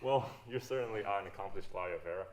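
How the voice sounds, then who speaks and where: A man's voice: sits low, fast, darkish tone, lightly acted. A young man acting a roguish, bitter adventurer in a stage play, dramatic and confrontational. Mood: accusing. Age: young adult. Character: roguish, cynical male adventurer, defensive and bitter. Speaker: student stage actor.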